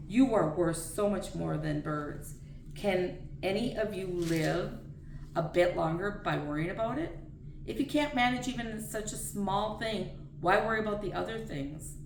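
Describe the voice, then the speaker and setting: A woman with a slightly low, gravelly voice reads scripture aloud, plainly and earnestly. She sounds some distance from the microphone, in a room that echoes around her words. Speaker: reader of daily scripture readings. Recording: roomy echo, distant mic, faint noise.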